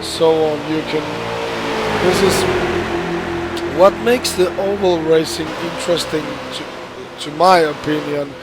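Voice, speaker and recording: A hoarse man, recovering from the flu, giving halting gameplay commentary with many pauses and restarts. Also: a little high. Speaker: sim racing gamer. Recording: loud steady background noise.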